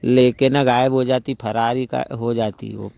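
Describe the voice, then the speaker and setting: Man acting a mellow, chatty young brother-in-law. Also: medium pitch, flat delivery, measured pace, gravelly, no room sound. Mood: gossipy. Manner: conversational, gossipy, rambling storytelling. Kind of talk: staged phone-call role-play, casual chat.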